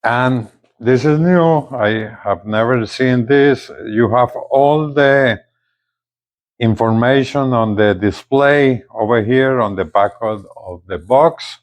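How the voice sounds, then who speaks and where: A man presenting a casual unboxing video, speaking haltingly and often repeating his words. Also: mid-range voice. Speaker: gadget reviewer, video host.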